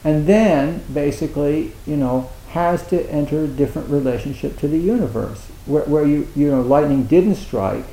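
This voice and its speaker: A man giving a dharma talk as a Zen teacher, speaking haltingly with many restarts and a wry, self-mocking humor. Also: a little high, mellow, roomy sound, rough recording. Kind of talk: dharma talk at a meditation retreat.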